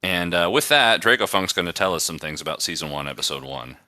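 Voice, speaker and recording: A man's voice: sits low, expressive, fast, sharp tone, no echo, clean studio sound. A man hosting a podcast, chatting casually in a rambling, conversational way. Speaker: podcast host. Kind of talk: casual chat.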